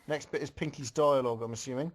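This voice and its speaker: A man's voice: mid-range voice, fast talker, over a noisy crowd. A man reading a fan story aloud in narration, with casual comments of his own.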